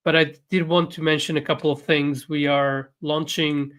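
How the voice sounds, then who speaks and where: A man's voice: on the high side, level tone, moderate pace, fairly bright tone. A man whose first language is Portuguese, co-hosting a casual livestream and talking hesitantly with many fillers. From Portuguese-speaking country. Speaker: co-host, runs online academy.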